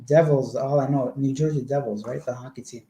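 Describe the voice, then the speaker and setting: A man's voice: mid-range voice, steady tone, fairly quick, mellow. A man from Canada chatting casually on a livestream, answering viewer comments with long, rambling explanations. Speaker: vlogger. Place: indoor home room. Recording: echoey room.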